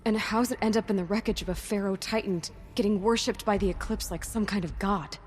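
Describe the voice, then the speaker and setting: A woman playing a puzzled, determined young adventurer in a game, speaking in a hushed, questioning way. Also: medium pitch, steady tone, a little fast, lightly acted. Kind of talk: game dialogue acting.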